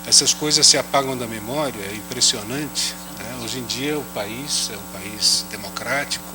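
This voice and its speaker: A man's voice: medium pitch, moderate pace. A man speaking at an academic round table with a slight foreign accent. He talks unhurriedly and adds "né?" after many phrases. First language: not Portuguese. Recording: audible hum under the voice.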